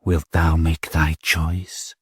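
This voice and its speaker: A man voicing a cold male cult leader who intones slowly in archaic speech. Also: extremely low pitch, flat delivery, husky, dry recording, studio quality, pitched below the performer's own voice, full character voice. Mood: menacing. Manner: slow, intoning, old-fashioned thee and thou.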